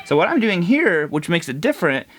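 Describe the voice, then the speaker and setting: A man's voice: high voice, very animated, a little fast. A man teaching a casual guitar lesson, congested and nasal, counting fret numbers as he plays. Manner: explains while playing, counts fret numbers.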